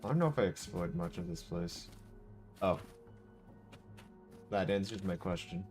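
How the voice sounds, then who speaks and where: A man's voice: sits low, expressive, measured pace, darkish tone, faint music behind. A man reacting casually as he streams a video game, in short offhand remarks.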